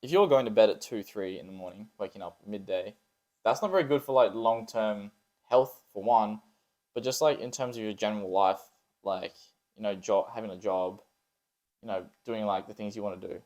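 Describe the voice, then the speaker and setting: Low-voiced young guy, teasing and slangy. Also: moderate pace, a little mellow. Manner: teasing, slangy, bro-talk. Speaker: podcast co-host. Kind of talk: casual banter.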